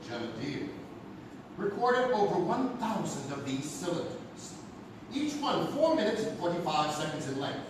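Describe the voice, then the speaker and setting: A man playing an archivist lecturer, narrating a dark legend theatrically to an audience. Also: high-pitched. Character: male archivist lecturer presenting werewolf legend evidence. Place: live stage in a theatre. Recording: echoey noisy theatre, far from mic.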